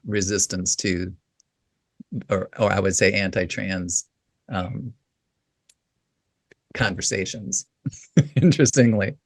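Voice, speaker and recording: Deep, gravelly man, halting and reflective. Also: no room sound, studio quality. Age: 50s. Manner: halting, with restarts and "you know" fillers. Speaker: guest, writing coach. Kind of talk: reflective interview talk.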